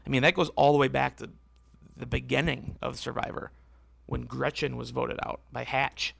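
A man hosting a casual solo podcast, rambling and correcting himself as he talks, with a voice that is going hoarse. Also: mid-range voice, level tone, normal speed, fairly bright tone, dry recording. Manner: rambling, self-correcting, conversational. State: losing his voice, hoarse. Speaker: podcast host.

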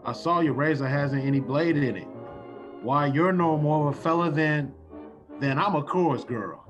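A man's voice: a little high, normal speed, lightly acted. Guy doing old radio tough mug, slangy streetwise talk, kinda muffled, bit of music behind. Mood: suspicious. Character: tough, suspicious streetwise man with a soft streak. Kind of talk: old-time radio drama acting. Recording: dull sound with music.

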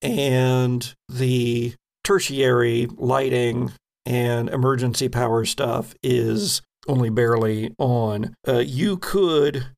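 A man working as game master, narrating events and rules in a halting, think-aloud way. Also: mid-range voice, fairly even intonation, creaky, darkish tone. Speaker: game master of a tabletop role-playing podcast. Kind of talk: improvised game narration, explaining rules and outcomes.

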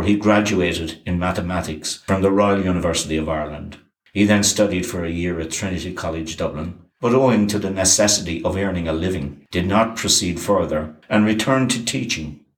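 A man giving a scripted documentary narration in an even, measured reading. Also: sits low, echoey room.

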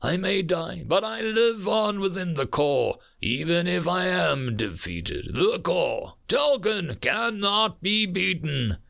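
Audio story role: injured alien marine played by a male narrator, raised swooping pitch, worn out, devoted, halting. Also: expressive, creaky, a bit bright, exaggerated voice. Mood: defiant. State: exhausted, in pain. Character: wounded male alien marine, devoted, brave, wryly joking.

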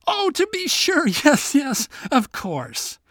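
A man doing a high, blustering fussy king. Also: wildly swooping pitch, slow, a bit bright, no room sound, clean studio sound, heavily acted. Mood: flustered. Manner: blustering, pompous. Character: fussy, flustered old king, eager and vain.

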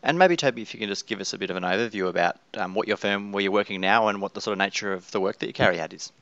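A man co-hosting a finance podcast, reading a disclaimer and questioning a guest in a brisk way. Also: fairly low pitch, fairly bright tone, no room sound, muffled recording. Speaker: podcast co-host. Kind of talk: podcast interview.

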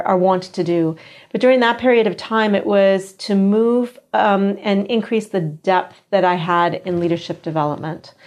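Middle-aged American woman, physician guest, lowish voice, reflective and measured. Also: darkish tone. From United States. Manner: measured, reflective, with frequent fillers. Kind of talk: conversational interview answer.

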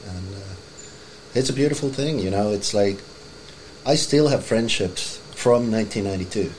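Podcast guest, middle-aged man from Finland, lowish voice, easygoing and rambling, faint noise behind. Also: moderate pace, poor audio. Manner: relaxed, rambling with many "you know"s. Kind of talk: casual chat.

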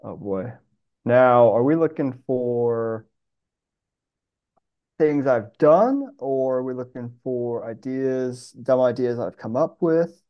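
A man who is a podcast guest and screenwriter, casually pitching and chatting. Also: takes it slowly, lo-fi sound.

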